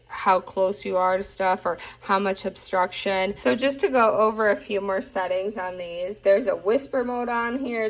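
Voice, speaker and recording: A woman with an average-pitched voice talks through a product in a relaxed, unscripted way. She sounds informal and chatty, with clear enthusiasm as she explains and demonstrates. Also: low-quality recording. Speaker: product reviewer.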